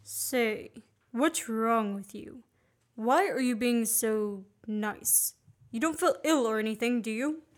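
A woman acting the part of a brisk, impatient man on the run in an amateur radio play. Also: a little high. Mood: puzzled, wary. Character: Richard Hannay, wronged male fugitive, brisk and impatient. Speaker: student actor.

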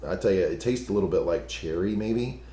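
A man with a hoarse, gravelly voice, co-hosting a casual podcast that recaps a TV show, chatty and self-deprecating. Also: low voice, fairly quick, warm tone, roomy sound, slight background noise. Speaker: podcast co-host.

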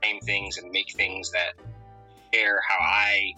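Bright-voiced relaxed man telling stories. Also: low-pitched, takes it slowly, soft background music, rough recording. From Connecticut, USA. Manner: relaxed, rambling, many fillers. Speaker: interview guest, musician and photographer. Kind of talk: casual conversational storytelling.

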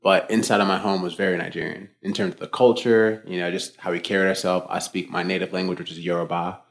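A young man with a low voice talks quickly and easily as an interview guest. He rambles comfortably, with plenty of "like" and "you know" and the odd restart. It is relaxed, everyday conversation. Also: gravelly. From United States (born Boston, raised Florida). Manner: relaxed, talkative, many fillers and self-restarts. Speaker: guest, fitness coach.

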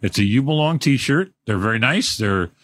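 A man's voice: mid-range voice, expressive, moderate pace, fairly bright tone, no room sound, studio quality. A man, hosting a live radio fundraiser in a casual, joking way full of fillers. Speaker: radio host.

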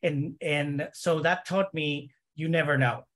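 A man from El Salvador, speaking as a panel guest in a measured way. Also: a little high, fairly even intonation, a bit bright. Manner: measured, with "uh" pauses. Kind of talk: panel discussion.

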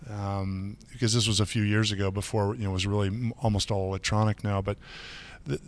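Middle-aged male guest from Michigan, lowish voice, chatty, filler-heavy delivery. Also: flat delivery, fairly quick. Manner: chatty, with many fillers and restarts. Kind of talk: casual interview.

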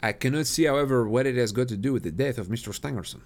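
Man reading a plain police inspector, just giving the facts, mid pitch. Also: quick pace, close to an everyday voice. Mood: defensive. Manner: steady, matter-of-fact reporting. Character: plodding male police inspector, defensive.

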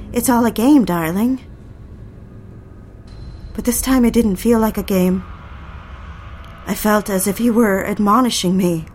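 A woman's voice: medium pitch, slight background noise, lightly acted. Woman acting an anxious, hesitant young wife. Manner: hesitant, pleading, repeats herself. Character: anxious, submissive young wife recalling a troubled marriage. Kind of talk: dramatic audio fiction, dialogue and confessional narration. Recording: music behind the voice.

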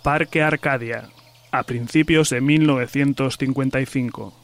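A man's voice: mid-range voice, fairly even intonation, normal speed, close to an everyday voice. A man acting as formal announcer, reading scene titles for an audio drama.

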